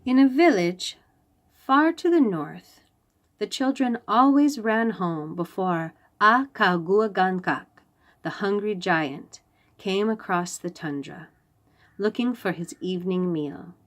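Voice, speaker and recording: Slow-paced woman reading a children's story. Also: mid-range voice. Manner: deliberate, expressive, emphasizing key phrases. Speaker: storybook reader for young children. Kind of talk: children's read-aloud storytelling.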